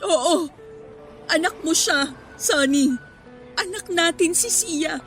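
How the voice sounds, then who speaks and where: Protective single mom, high voice, Filipino accent, defensive, emotional radio drama, soft music. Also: female voice, takes it slowly, exaggerated voice. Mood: tearful confession. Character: guarded, firm single mother protecting her secret. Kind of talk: radio melodrama acting.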